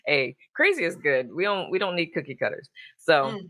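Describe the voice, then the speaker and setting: A woman's voice: fairly low pitch, a bit bright. A woman hosting a casual, chatty interview show in an effusive, informal way. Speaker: talk show host.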